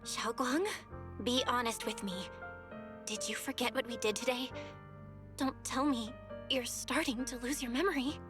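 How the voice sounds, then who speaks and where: A woman's voice: fairly high pitch, steady tone, moderate pace, pauses often, over music, natural voice. A woman voicing a concerned, loyal young friend in an anime video game, speaking firmly and earnestly. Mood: worried, insistent. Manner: earnest, insistent.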